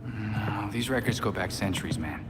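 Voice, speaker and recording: A man's voice: lowish voice, moderate pace, background noise, close to an everyday voice. A man playing a cautious, earnest young vigilante in a video game, level-headed and serious. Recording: long reverb under music.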